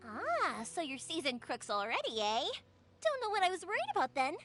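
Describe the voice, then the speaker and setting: An actress playing a cheerful, caring young woman in a video game, chatty, expressive and easygoing. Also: extremely high pitch, moderate pace, faint music behind, full character voice. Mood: breezy, confident. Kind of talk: video game character acting.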